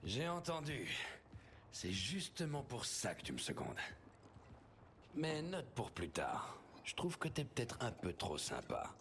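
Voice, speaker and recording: An actor playing a seasoned, wry and resolute adventurer mentor in a video game. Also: male voice, mid-range voice, wildly swooping pitch, a little slow, pauses often, fairly bright tone, slight background noise, heavily acted.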